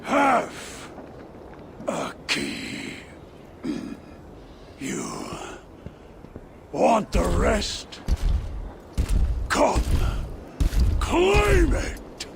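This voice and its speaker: A man's voice: high voice, huge pitch swings, very slow, pauses often, full character voice. An actor playing a defiant, boastful male fire giant who taunts and roars in a game cutscene. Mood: taunting. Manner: roaring, taunting. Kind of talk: dramatic game cutscene acting. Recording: shouted over clear background noise.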